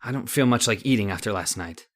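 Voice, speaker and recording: A man's voice: on the low side, quick pace, close to an everyday voice. A man playing a shaken, trusting doctor in old-time radio horror style, hesitant and exclamatory. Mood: subdued, attentive.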